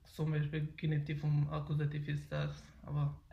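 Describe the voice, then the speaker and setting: A man's voice: fairly high pitch, monotone, moderate pace, vocal fry, echoey room, highs cut off, a crowd in the background. A nineteen-year-old man from Afghanistan whose first language is Pashto, answering interview questions hesitantly with many fillers. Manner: hesitant, frequent fillers and restarts. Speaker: interviewee, German learner. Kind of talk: interview about learning German.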